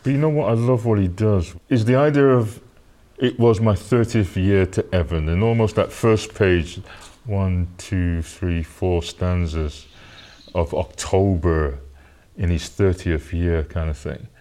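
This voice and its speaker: Deep-voiced, thoughtful male co-host. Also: unhurried, warm tone. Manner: thoughtful, appreciative. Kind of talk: conversational interview.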